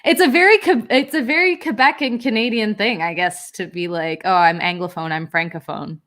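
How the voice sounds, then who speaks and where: A woman's voice: mid-range voice, fairly quick, a bit bright. A young adult woman hosting a livestream, chatting casually and reading out viewer comments with plenty of fillers. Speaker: livestream host. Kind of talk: casual chat on a writing livestream.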